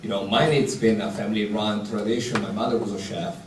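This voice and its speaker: A man's voice: fairly low pitch, steady tone, brisk. A man from Italy with an Italian accent, an executive chef giving blunt, practical advice on a panel in a large hall. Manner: direct, practical, with broken grammar. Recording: echoey hall, distant mic, light noise.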